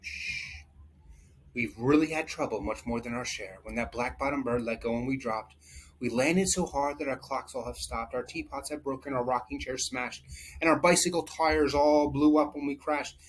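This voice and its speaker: A man's voice: medium pitch, normal speed, slight background noise, natural voice, low-quality recording. A man voicing a tiny, polite male mayor of a town on a speck of dust in a storybook, speaking small and faintly. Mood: pleading.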